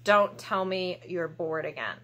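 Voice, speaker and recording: A woman's voice: lowish voice, a little slow, a bit bright. A woman in her thirties presenting a children's storytime in a playful, exaggerated, encouraging manner, recorded in a small room at home. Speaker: library storytime host.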